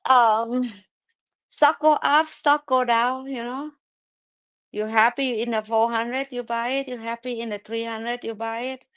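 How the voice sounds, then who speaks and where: A woman with a thick Thai accent hosting a live audio chat, speaking broken English in an animated, excitable way. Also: mid-range voice, no room sound, low-quality recording. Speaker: host.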